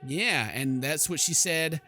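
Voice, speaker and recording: A young man with a bright, forceful voice that sits a bit high. He reads a game's story aloud theatrically and adds jokey commentary, with music playing behind him. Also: slow. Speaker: gaming video host. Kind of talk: gameplay commentary, reading story text aloud with asides. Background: game music.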